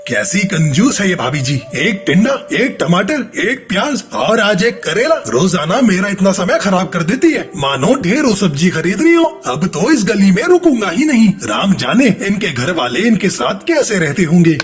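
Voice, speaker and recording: Animated, exasperated bird vegetable seller played by a man. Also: sits high, moderate pace, few pauses, faint music behind. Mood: fed up.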